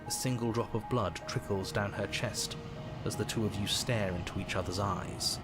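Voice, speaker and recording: A man playing a grave storybook narrator, reading second-person dark fairy-tale narration in a horror game. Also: lowish voice, normal speed, natural voice. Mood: tense, grave. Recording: game audio with music behind.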